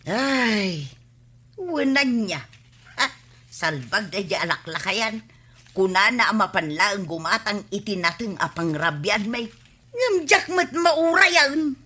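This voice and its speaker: An actress with an Ilocano accent playing a sharp-tongued, nagging village wife in a radio comedy drama. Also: female voice, medium pitch, very animated, slow, heavily acted. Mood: complaining.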